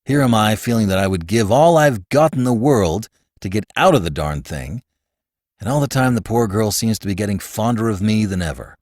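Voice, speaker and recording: A man's voice: lowish voice, lively intonation, normal speed, darkish tone, no room sound, clean studio sound. A man reading an audiobook and voicing a gloomy, irritable young man who is troubled over a romance. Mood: harassed. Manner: gloomy and irritable, with exasperated outbursts. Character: harassed, moody young man in a romantic fix. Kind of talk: audiobook character voice.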